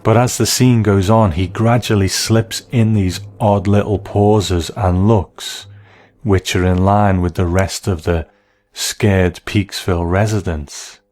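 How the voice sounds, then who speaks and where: A man giving measured, analytical commentary as the host of a review of classic television. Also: low-pitched, fairly even intonation, a little mellow.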